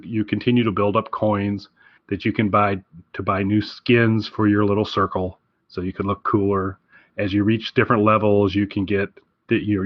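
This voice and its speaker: A man with a fairly low voice talks casually as a podcast co-host. He rambles along with easy enthusiasm. The sound is very dry and a little lo-fi. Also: dry recording. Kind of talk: casual game recommendation chat.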